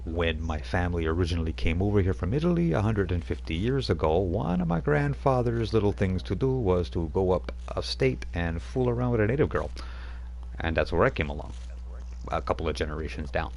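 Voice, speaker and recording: Guy doing a joking old spirit guide reverend, kinda low voice, super casual. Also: big pitch swings, fairly quick, creaky, a little mellow, slight background noise, full character voice. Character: jokey older male spirit guide, reverend type.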